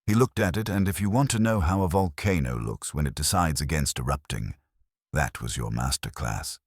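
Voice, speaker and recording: A man's voice: very deep voice, normal speed, breathy edge, no echo, studio quality. A man reading a scripted detective story as narrator, in a wry first-person hardboiled manner.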